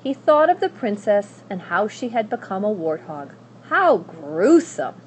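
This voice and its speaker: A woman narrating a children's story aloud in a dramatic, expressive and deliberate way. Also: mid-range voice, poor audio. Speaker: school staff member reading aloud. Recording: faint background noise.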